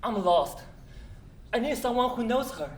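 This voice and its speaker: Male actor as earnest pleading husband, stage play, animated pitch, echoey room. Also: a little slow. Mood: wistful. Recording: echoey stage, faint background noise.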